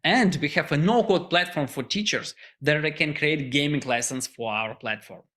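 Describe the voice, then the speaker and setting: A man with a Russian accent, Russian his first language, giving an earnest startup pitch as founder. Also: normal speed, fairly bright tone. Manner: earnest, non-native phrasing.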